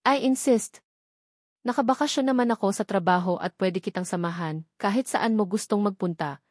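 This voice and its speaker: A woman's voice: mid-range voice, moderate pace, no room sound, studio quality, lightly acted. Woman voicing a kind, insistent male boss. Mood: generous, insistent. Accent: Filipino. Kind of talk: audiobook romance dialogue.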